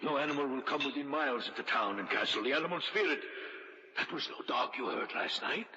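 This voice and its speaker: An actor playing a solemn, ominous castle guide who speaks formally and portentously in a 1940s radio drama. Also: male voice, medium pitch, animated, quick pace, lightly acted. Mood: urgent, fearful. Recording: noisy, muffled vintage radio recording.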